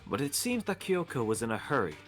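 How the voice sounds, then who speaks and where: A man's voice: medium pitch, very animated, faint music behind, voice pushed up, natural voice. Man acting a haughty teenage schoolboy, condescending. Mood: smug, explanatory. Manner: condescending, measured. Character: haughty, condescending teenage male student, curt and impatient. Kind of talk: amateur voice acting in a game playthrough.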